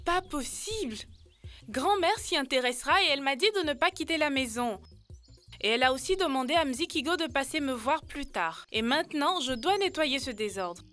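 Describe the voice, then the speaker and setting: Little girl, high voice, earnest, pleading, children's cartoon, faint music behind. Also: expressive, moderate pace, pushed and bright. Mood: worried. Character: earnest little girl, worried about getting in trouble. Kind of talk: children's educational cartoon dub.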